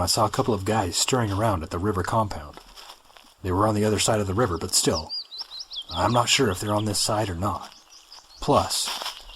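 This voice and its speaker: A man voicing a commanding survivor who keeps his group moving, with a lowish voice set against loud outdoor sound of birds and open country. A radio-play figure who talks in firm, urgent orders. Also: background noise, natural voice. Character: male survivor leading a group to safety. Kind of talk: audio drama acting.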